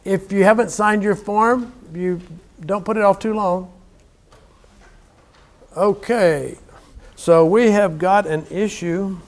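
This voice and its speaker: Folksy, high-pitched Southern US man lecturing. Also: fairly even intonation, takes it slowly, long pauses. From South Louisiana, USA. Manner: folksy, joking, exclamatory, teasing the students. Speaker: university lecturer. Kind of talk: informal classroom lecture banter. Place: university classroom.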